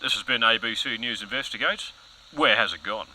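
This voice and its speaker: A man's voice: medium pitch, fairly quick, bright, forceful tone, rough recording. A man playing a pompous, overzealous TV news anchor in an audio comedy, earnest and dramatic. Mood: dramatic, grave.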